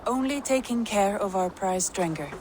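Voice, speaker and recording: A woman acting as a pragmatic Viking adviser speaks in an average-pitched, composed voice with faint water noise around it. She sounds matter-of-fact, a steady counsellor in a game drama. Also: normal speed, lightly acted. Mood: cautioning.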